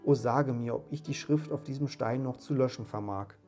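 A man's voice: medium pitch, normal speed, mellow, soft background music, lightly acted. A man voicing a reformed old miser from a storybook, exclaiming with great emotion and emphasis. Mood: pleading. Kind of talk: dramatised storybook reading.

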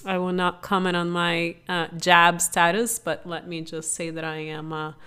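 Middle-aged female podcast host, raspy from a recent illness, lower pitch, chatty and upbeat. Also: flat delivery, moderate pace, bright, forceful tone. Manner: chatty, upbeat, informal asides. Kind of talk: solo podcast explainer.

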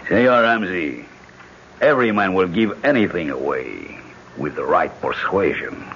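A cruel, taunting secret policeman, lowish and unhurried but lively in pitch. It is a radio drama on a muffled old recording with faint music behind. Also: gravelly, a little hiss or hum, exaggerated voice. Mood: smug menace. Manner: slow, smug, taunting. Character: sinister secret policeman, smugly cruel and courteous.

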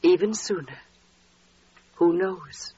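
A woman's voice: fairly low pitch, slow pace, warm tone, husky, heavily acted. An actress playing a worried, tender mother in ancient Judea, in 1940s radio drama style. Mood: hushed anticipation. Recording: dull 1940s radio recording, crowd behind.

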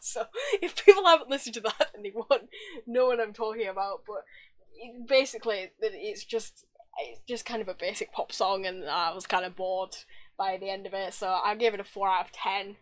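A woman co-hosting a casual podcast chat, teasing and laughing often as she reviews songs. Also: on the high side. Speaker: podcast co-host.

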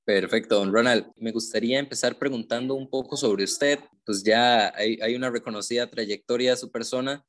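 A man's voice: medium pitch, fairly even intonation, moderate pace, few pauses, a bit bright. Guy hosting an interview, polite and formal, long winding questions. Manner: formal and courteous, addresses the guest as "don". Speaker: interviewer host.

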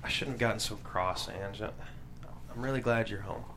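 Actor playing a halting 1890s working man. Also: on the low side, bright, forceful tone, slight background noise. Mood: subdued, apologetic. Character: grieving, bitter husband and deferential factory supervisor, 1890s. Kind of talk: table read of a period drama script.

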